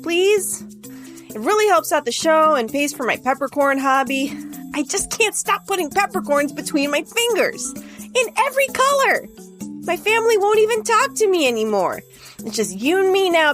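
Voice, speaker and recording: Female credits announcer, high and lively, quirky and playful, light music underneath. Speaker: show announcer reading credits.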